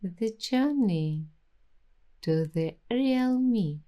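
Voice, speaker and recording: A woman hosting an ASMR roleplay, speaking softly and reflectively in gentle, affirming words. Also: medium pitch, lively intonation, lo-fi sound. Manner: soft, slow, reflective and affirming. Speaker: ASMR host.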